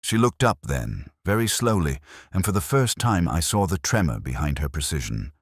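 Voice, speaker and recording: Man acting melancholy detective narrator, very low voice, expressive rise and fall, measured, literary, scripted radio mystery. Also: creaky, dry recording, studio quality, exaggerated voice. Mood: pensive. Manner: measured, literary, brooding delivery.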